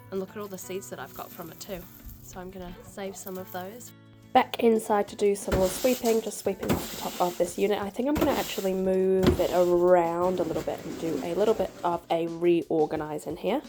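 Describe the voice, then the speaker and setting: A woman in her thirties talks her way through a gardening vlog, chatty and rambling, her voice nasal and stuffy from a cold. She sits at a middling pitch, with faint music under her. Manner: chatty, rambling, frequent ums. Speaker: gardening vlogger. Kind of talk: casual vlog chat. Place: backyard greenhouse.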